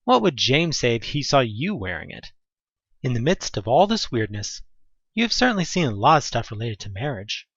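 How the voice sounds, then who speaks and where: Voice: mid-range voice, sing-song, moderate pace, a bit bright, husky, no echo, muffled recording. A narrator reading a second-person horror audio drama expressively, with dramatic delivery and conversational asides.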